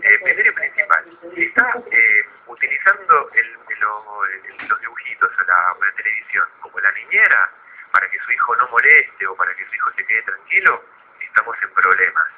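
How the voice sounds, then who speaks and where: An Argentine man, a guest psychologist in a radio interview, explaining in a didactic but halting way with repeated words. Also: on the low side, animated, slight background noise. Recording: phone-like narrow radio line.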